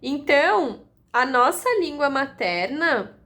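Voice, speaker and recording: A woman giving a calm, explanatory lesson, repeating her points and using analogies. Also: high voice, slow pace. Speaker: astrology teacher.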